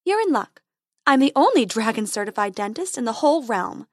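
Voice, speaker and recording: A brisk, confident tooth fairy dentist, as a woman puts her on, high and chirpy, with lively leaps in pitch. Her voice is dry and close to the mic. She is an unflappable storybook heroine. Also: no room sound.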